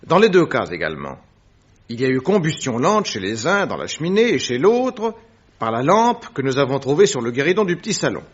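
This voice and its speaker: Analytical gentleman detective in a french radio play, precise, formal, dryly witty, mid-pitched, bit dull recording. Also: big pitch swings, slightly rough. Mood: analytical, assured. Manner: deliberate, precise, formal phrasing. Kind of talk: French radio drama acting.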